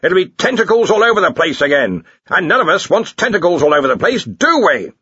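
A man's voice: big pitch swings, moderate pace, pushed and bright, husky, no room sound, lo-fi sound, exaggerated voice. Man acting a blustering, bossy old wizard chief. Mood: roaring. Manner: argumentative, booming, impatient. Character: blustering, domineering old male wizard, head of faculty.

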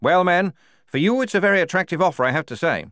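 A man's voice: high voice, expressive, fast talker, a bit bright, no echo, clean studio sound, pitched above the performer's own voice. A man voicing a fussy, overly polite young male lieutenant who stammers when flustered.

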